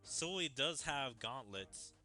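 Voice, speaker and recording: A man's voice: mid-range voice, animated, slow pace, bright, forceful tone, faint music behind. A man giving casual game commentary as a streamer, musing aloud.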